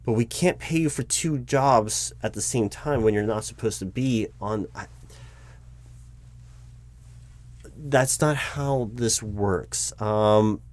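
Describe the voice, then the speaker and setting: Man playing a low-voiced, exasperated recruiting manager. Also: dry recording, lightly acted. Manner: halting, repetitive, explanatory, insistent. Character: flustered, exasperated male human resources recruiting manager, lecturing his boss. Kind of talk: one-sided improvised audio roleplay, loud spoken.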